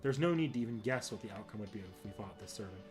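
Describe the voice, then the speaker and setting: A man's voice: fairly low pitch, animated, fast. A man reading a game's story narration aloud, with music playing behind him. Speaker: game narrator, club member.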